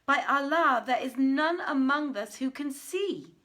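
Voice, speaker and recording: Woman as a desperate blind man, crying out, raised pitch. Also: lively intonation, a little slow, pushed and bright, heavily acted. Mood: desperate protest. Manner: crying out, imploring. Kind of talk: dramatic storybook reading.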